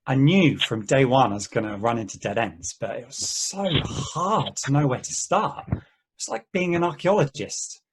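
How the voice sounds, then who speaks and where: A man playing an easygoing game player in an amateur table read, talking in a halting, conversational way. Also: medium pitch, background noise, lightly acted. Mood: reminiscing. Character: easygoing, rambling male player of an endless treasure-hunt game.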